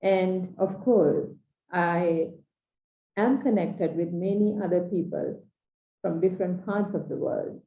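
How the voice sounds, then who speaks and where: A woman from Sri Lanka with a Sri Lankan English accent, giving an earnest, measured coaching talk in short, paused phrases. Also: fairly low pitch. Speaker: transformational coach. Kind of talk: slow reflective training talk. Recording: dull echoey room recording.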